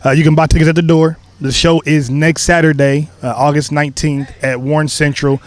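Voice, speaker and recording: A man talking casually in an interview, relaxed with many fillers and a cleared throat now and then. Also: fairly high pitch, fairly quick. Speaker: creator of a stage play, interview guest.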